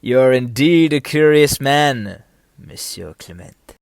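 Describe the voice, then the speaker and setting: A man's voice: medium pitch, lively intonation, slow pace, creaky, clear, resonant tone, no room sound. A man playing a proud, volatile sea captain in an audio drama, indignant and theatrical in formal period diction. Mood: bitter, restrained. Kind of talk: stage-play acting in an audio drama.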